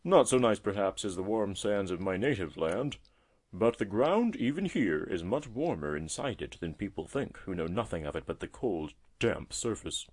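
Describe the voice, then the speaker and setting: A man's voice: fairly low pitch, lively intonation, moderate pace, a little mellow, breathy edge. A man voicing a testy but friendly old male tortoise in a storybook, chatty and avuncular, speaking emphatically. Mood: gleeful, chatty. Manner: emphatic, chatty, avuncular, fond of tag questions. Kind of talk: storybook character voice.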